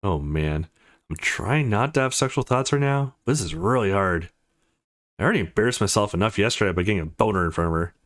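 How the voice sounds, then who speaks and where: A man's voice: on the low side, expressive, brisk, a bit bright. A man voicing an awkward, nervous young male protagonist of a visual novel, as if muttering his inner thoughts. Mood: conflicted.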